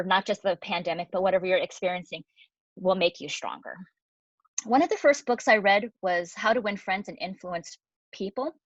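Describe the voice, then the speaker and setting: A woman in her forties giving a conversational online talk as a guest physician, lively and chatty with fillers. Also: medium pitch, dry recording. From United States. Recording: dry, dull lo-fi audio.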